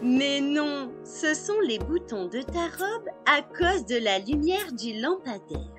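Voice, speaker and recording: A woman playing a calm, patient mother reassuring her small child in a children's story. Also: high voice, slow, music in the background, exaggerated voice. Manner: patient, explaining.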